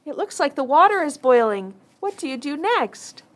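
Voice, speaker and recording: Villager puppet, voiced by a woman: very high, animated, curious and keen to help, children's show. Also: moderate pace.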